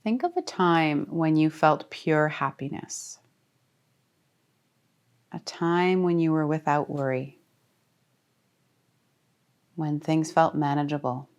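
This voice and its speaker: Low voiced lady doing a guided meditation, calm n soothing, lots of pauses. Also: smooth. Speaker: yoga nidra teacher.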